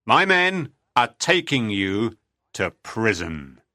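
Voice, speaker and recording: A man's voice: fairly low pitch, very animated, slow, bright, forceful tone, dry recording, studio quality, full character voice. A man voicing a cruel, greedy medieval lord in a storybook reading, speaking in a harsh, commanding way.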